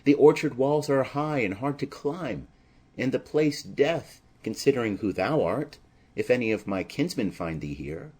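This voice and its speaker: A man voicing a smitten, anxious young maiden in earnest, measured Shakespearean verse, as in an audio drama. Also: mid-range voice, expressive, darkish tone, slightly rough.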